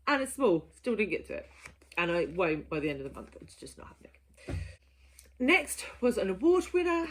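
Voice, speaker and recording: A woman's voice: mid-range voice, a bit bright. A woman in her thirties chatting casually to camera as a book vlogger, in a giggly, self-mocking manner.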